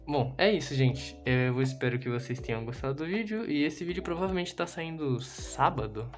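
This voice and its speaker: A man's voice: medium pitch, lively intonation, moderate pace, faint music behind. A young man with a Brazilian Portuguese accent, chatting casually and self-deprecatingly while filming a tour of his bedroom on a handheld phone. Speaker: youtuber. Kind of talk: casual room tour vlog. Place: small bedroom with red LED light. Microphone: phone camera held in hand.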